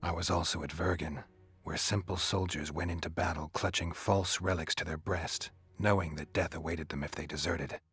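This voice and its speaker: A man's voice: deep voice, fairly even intonation, moderate pace, fairly bright tone, husky, dry recording, exaggerated voice. A man playing a stoic, world-weary mercenary monster hunter in a fantasy video game, speaking in a terse, dry, understated way. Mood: sardonic. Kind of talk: dramatic fantasy game acting.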